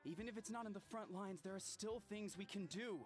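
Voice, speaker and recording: A man's voice: high voice, brisk, lightly acted. A man playing an earnest, hopeful young man in a video game, speaking encouragingly to rally his people. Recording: music clearly behind the voice.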